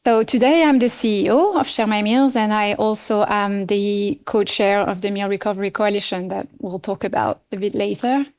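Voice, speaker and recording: Middle aged french lady on a podcast, talks steady, lots of ums and restarts. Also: mid-range voice, clean tone. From France. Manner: measured, many fillers and restarts. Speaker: nonprofit CEO, guest. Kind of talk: podcast interview.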